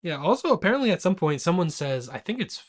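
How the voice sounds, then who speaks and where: A man's voice: fairly high pitch, very animated, quick pace. A man co-hosting a podcast, chatting casually about films and repeating his points for emphasis. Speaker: podcast co-host. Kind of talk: casual movie chat.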